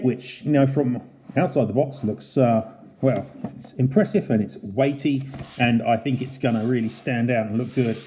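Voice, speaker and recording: Man reviewing in an echoey room, mellow voice. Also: medium pitch. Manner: conversational, explanatory. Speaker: channel host, model airport reviewer. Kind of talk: casual unboxing review narration. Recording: roomy, echoey indoor sound.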